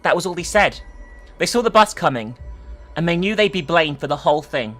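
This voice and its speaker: A narrator giving a dramatic reading of a horror story, expressive, with sweary, incredulous asides. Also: mid-range voice, fairly quick, soft background music. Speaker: narrator and commentator.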